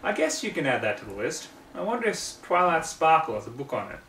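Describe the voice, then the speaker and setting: A man's voice: fairly high pitch, very animated, a little fast, fairly bright tone, slight background noise, pitched above the performer's own voice. A man voicing an earnest young farm filly pony with folksy country phrasing in a storybook reading. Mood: determined. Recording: roomy, distant microphone in home room.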